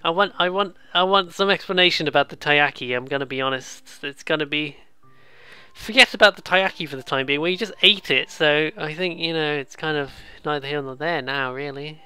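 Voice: mid-range voice, a little fast, sharp tone, clear, resonant tone, dry recording, faint music behind. A British let's player giving casual, rambling, amused commentary on a visual novel, with some swearing. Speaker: let's play host.

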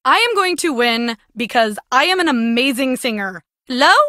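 A woman's voice: sits high, big pitch swings, normal speed, pushed and bright, no echo, studio quality, exaggerated voice. A woman playing a brash, vain, combative young singer competing in a video game's reality show. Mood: boastful, combative. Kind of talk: scripted video game voice acting.

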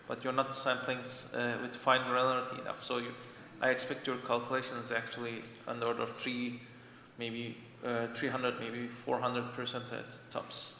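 A man's voice: medium pitch, completely flat delivery, moderate pace, few pauses, pushed and bright. A man in the audience putting a technical point analytically, in spontaneous speech. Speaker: audience member asking a question. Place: large tiered lecture hall. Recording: echoey hall, faint noise, audience microphone.

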